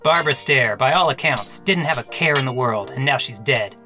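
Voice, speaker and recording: A man playing an earnest, wry, bumbling police detective in a comic noir radio play, with a deadpan, hard-boiled delivery. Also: mid-range voice, brisk, faint music behind.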